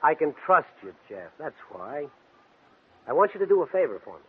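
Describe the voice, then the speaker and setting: An actor playing a smooth, coldly controlled, scheming man in a 1940s radio drama. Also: a little high, sing-song, brisk, heavily acted. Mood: smooth, pressuring. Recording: muffled, tinny vintage 1940s radio recording.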